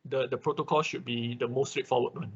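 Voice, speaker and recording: A man's voice: mid-range voice, steady tone, brisk, slightly rough, lo-fi sound. A man working as an instructor in an online class, answering questions in a hesitant way, often checking with short confirmations. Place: online class over a computer call.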